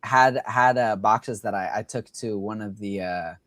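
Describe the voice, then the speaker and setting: A man's voice: fairly low pitch, a little slow, a bit bright, no echo. A young man hosting a livestream, chatting casually and rambling with lots of fillers. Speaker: livestream host.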